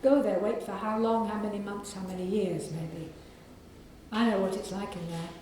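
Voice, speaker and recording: A woman with a soft, croaky voice and a mellow, dark tone reads from her own novel, measured and unhurried. She speaks in a very echoey library room with faint background noise. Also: mid-range voice, fairly even intonation. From England. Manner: measured reading with clearing of the throat. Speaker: author reading her novel. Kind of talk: reading aloud from a novel at a library event.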